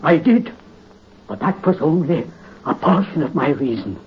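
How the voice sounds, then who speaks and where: A dying count gives orders from his deathbed in a weak but imperious voice pitched a little high. He is bitter and set on revenge. The sound is an old 1930s radio recording, dull and faintly hissy. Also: big pitch swings, normal speed. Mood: commanding. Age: 50s.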